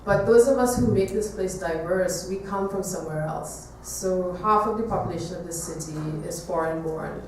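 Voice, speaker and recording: A woman from Trinidad giving an artist talk to an audience, speaking reflectively with hesitant pauses. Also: fairly low pitch. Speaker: visual artist giving a presentation. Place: echoey room, talk to an audience. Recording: echoey room, distant mic, faint noise.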